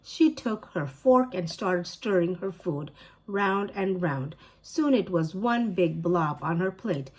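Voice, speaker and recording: A woman teacher reading a children's chapter book aloud, clear and expressive. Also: on the low side, takes it slowly, low-quality recording. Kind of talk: storybook read-aloud.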